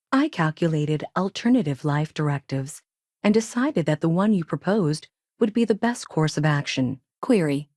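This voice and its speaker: A woman playing an emotionless, logical female AI space probe in a video game, speaking in a clipped, formal, deadpan way. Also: low voice, no echo, studio quality. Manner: clipped, formal, deadpan, announces each statement type first. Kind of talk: scripted game dialogue.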